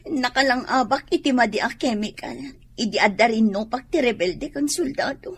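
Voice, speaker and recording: An actress with a Filipino accent playing a meek, pleading young daughter in a radio drama. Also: female voice, on the high side, moderate pace, husky. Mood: subdued.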